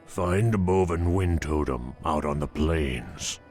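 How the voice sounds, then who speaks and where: A man's voice: very deep voice, slow pace, darkish tone, soft background music. An actor playing a grave, earnest tauren tribesman in a fantasy game, speaking solemnly and formally. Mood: grave concern. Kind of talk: fantasy game cutscene acting.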